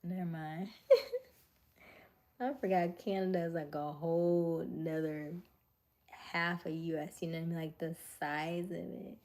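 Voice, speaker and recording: A woman with a low, mellow voice that has a noticeable gravelly fry chats casually with her viewers. She is relaxed and talkative, often dropping into a soft whisper between louder remarks. She sounds like a young adult speaking into a simple home mic. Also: measured pace. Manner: chatty, laughs often, drops to soft whispers. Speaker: livestream host chatting with viewers. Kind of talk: casual live chat reading viewer comments.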